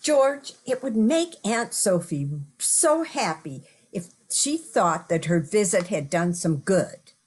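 A woman's voice: medium pitch, animated, slow, few pauses, a little mellow, husky. A woman playing a sweet, coy sweetheart in an amateur old-time radio play. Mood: coy, romantic. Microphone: computer on video call.

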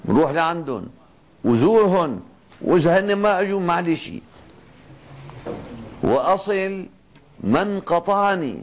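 An older man with a Levantine Arabic accent giving a Ramadan evening sermon in a mosque, speaking in a fatherly way with slow, emphatic repetition. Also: sits high. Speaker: sheikh. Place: mosque, seated at a pulpit desk. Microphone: two stand microphones on the desk.

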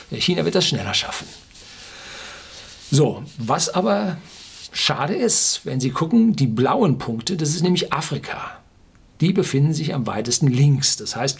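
Husky older man explaining emphatically. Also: fairly high pitch. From Ruhr area, Germany. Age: 60s. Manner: emphatic, rhetorical questions, clipped asides. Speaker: video blogger. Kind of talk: opinionated informal explainer monologue to camera. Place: small furnished room indoors.

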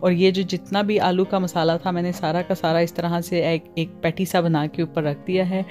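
A Pakistani-accented woman narrates a step-by-step recipe as a cooking host. Her voice sits a little low for a woman. She slips English words and phrases into her sentences, with faint music playing underneath. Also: level tone, moderate pace, a little mellow. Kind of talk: recipe tutorial voice-over.

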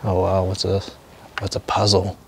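Low gravelly man exploring a ruin. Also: monotone, moderate pace, a little mellow, slight background noise. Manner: low-key, observational, thinking aloud. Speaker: urban explorer host. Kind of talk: walking vlog narration. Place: inside an abandoned building.

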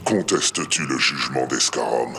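Very deep gravelly alien brute warrior. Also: male voice, moderate pace, pushed and bright, exaggerated voice. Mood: commanding. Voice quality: gravelly, guttural. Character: hulking alien brute warrior, domineering and menacing. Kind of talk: video game character acting. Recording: heavy background rumble, echoing.

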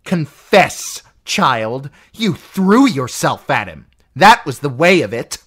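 An audiobook narrator voicing a jealous, unhinged middle-aged noblewoman who accuses in a shrill, spiteful voice that rises into screeching. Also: very animated, slow pace. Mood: furious. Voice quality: shrill, piercing. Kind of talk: audiobook character voice.

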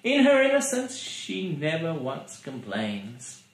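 A man's voice: a little high, huge pitch swings, slow pace. A man in his thirties giving a dramatic, mock-solemn comic poetry reading. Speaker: poetry reader. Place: living room by a fireplace. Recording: roomy camera sound.

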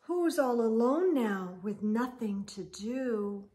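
Middle-aged woman, high voice, very lively intonation, unhurried pace, children's read-aloud host. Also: a little hiss or hum. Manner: animated, gentle asides, drawn-out words. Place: indoor room lined with bookshelves.

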